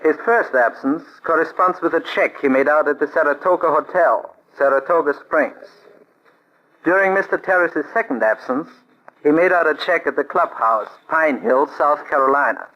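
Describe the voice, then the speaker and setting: A man's voice: on the high side, dull audio, lightly acted. An actor playing a businesslike male accountant who reports records precisely, in 1940s radio acting.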